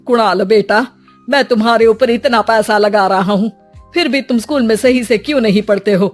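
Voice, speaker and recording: A woman's voice: fairly high pitch, normal speed, faint music behind, natural voice. A woman voicing a poor, anxious father in a cartoon story, speaking in a deferential, pleading way. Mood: urging.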